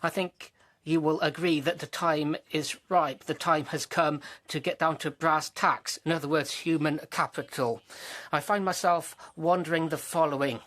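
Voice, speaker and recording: A simultaneous interpreter in a middle register, voicing a delegate's speech into English. The delivery is halting, with frequent restarts and filler sounds as the speaker keeps up with the original. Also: medium pitch, fairly even intonation, a bit bright. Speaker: conference interpreter.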